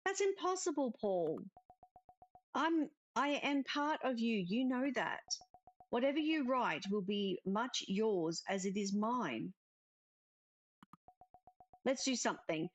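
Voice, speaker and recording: A woman's voice: fairly high pitch, lively intonation, takes it slowly, pauses often, highs cut off, soft background music. A woman reading the part of a dead brother's earnest, emotional spirit in a visual novel, emphatic and pleading. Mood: pleading, insistent.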